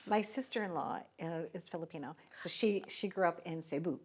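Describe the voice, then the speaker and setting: A woman's voice: fairly low pitch, brisk, a little hiss or hum. A woman hosting a talk show, interviewing guests in an enthusiastic, talkative way and often tossing in "right?". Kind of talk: friendly interview chat.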